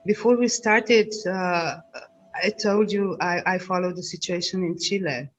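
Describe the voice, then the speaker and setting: Slow, hesitant woman moderating a video call. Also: fairly low pitch, slight background noise. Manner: slow, hesitant, many fillers. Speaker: panel moderator. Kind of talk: moderating an online panel. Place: home, dark room. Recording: dull video call audio.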